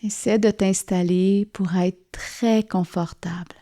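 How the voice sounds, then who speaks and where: A woman guides a yoga nidra relaxation, speaking softly and soothingly with gentle pauses. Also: medium pitch, steady tone. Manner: soft, soothing, gently pausing. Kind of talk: guided relaxation meditation narration.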